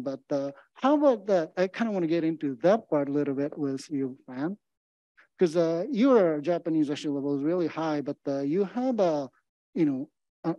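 A man's voice: a little high, dark tone, dry recording, highs cut off. A middle-aged man moderating a panel interview, asking encouraging questions into a handheld mic with many fillers. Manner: encouraging, many fillers and back-channel murmurs. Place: indoor meeting room.

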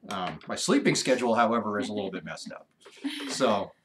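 A man in his forties co-hosting a casual ranch livestream from a living room couch, talking in an easygoing, halting way with lots of fillers. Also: lowish voice, expressive, a little hiss or hum. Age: 40s. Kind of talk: casual live chat.

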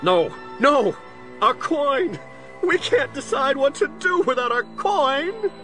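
An obsessive villain with a split personality in an audio drama. The voice is high and harsh-edged, leaping wildly between menace and frantic raving. Faint music plays behind. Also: male voice, big pitch swings, slow, pushed and bright, exaggerated voice. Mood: frantic panic. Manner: frantic, menacing, calls himself "we". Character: obsessive, unhinged crime boss with a split personality.

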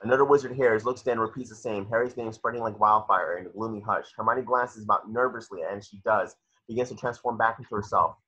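A man with a husky voice narrating screenplay stage directions at a table read over a video call. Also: lowish voice, steady tone, quick pace, pushed and bright, low-quality recording.

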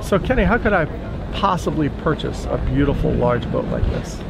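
A man's voice: on the high side, lively intonation, moderate pace, creaky, heavy background noise. Upbeat middle-aged male boating host. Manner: upbeat, leading questions, hyped sign-offs. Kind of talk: enthusiastic boat-show walkthrough. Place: outdoors on a docked yacht at a marina.

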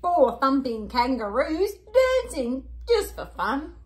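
A young woman with an Australian accent, playful and expressive, chatting casually and reading picture books aloud to children as a co-host. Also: high voice, slow. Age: young adult. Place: indoor living room on a sofa.

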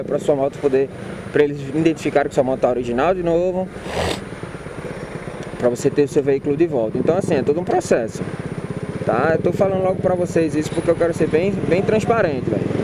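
Slangy man vlogging from a motorcycle. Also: a little high. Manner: slangy, informal, repeats himself. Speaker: motovlogger. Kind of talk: casual talk while riding a motorcycle. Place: riding a motorcycle on a seaside city avenue. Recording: loud road and wind noise. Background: loud traffic and engine noise.

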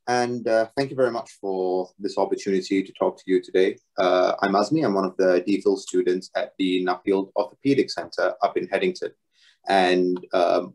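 Young man from Singapore, low voice, relaxed research presenter with fillers and dry self-mockery. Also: low-quality recording. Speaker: doctoral student presenter. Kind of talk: academic research talk. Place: home room, video talk.

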